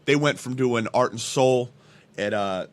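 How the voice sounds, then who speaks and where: A man's voice: mid-range voice, measured pace. A man in his thirties chatting casually as an interview guest, slangy and sweary, telling stories with repeated words into a close mic. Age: 30s. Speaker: hip-hop artist and event promoter, interview guest. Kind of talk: casual podcast interview chat. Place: small indoor room. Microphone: studio mic with pop filter, close. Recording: clear background room noise.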